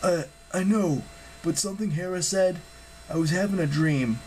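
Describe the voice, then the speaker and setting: A man voicing an earnest, confused teenage boy hero in an audiobook, halting and questioning as he talks. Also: sits high, a little mellow, a little hiss or hum, voice pushed up, close to an everyday voice, poor audio. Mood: troubled. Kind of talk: audiobook character acting.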